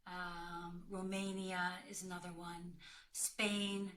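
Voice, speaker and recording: A woman's voice: lowish voice, little pitch movement, slow, a bit bright, slight background noise. A woman working as an actor and career coach, giving direct, emphatic advice in a conversational way. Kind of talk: conversational advice in a question-and-answer call.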